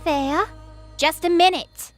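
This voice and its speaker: An actress playing a tough, cocky young crow goddess in an audio drama, with a slangy, challenging manner. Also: female voice, very high-pitched, steady tone, slow, faint music behind. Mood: focused.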